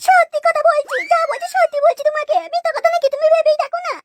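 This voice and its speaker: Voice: very high-pitched, fairly even intonation, quick pace, noisy background. An actor voicing a bold young housewife, complaining and coy, in a comic cartoon dub. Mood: nagging, scornful.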